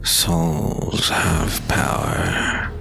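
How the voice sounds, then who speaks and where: An actor playing a menacing, unhurried monster, agent of a dark power, in a fantasy audio drama, deep and gravelly, gloating with mock politeness. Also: male voice, flat delivery, soft background music, exaggerated voice. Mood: gloating menace.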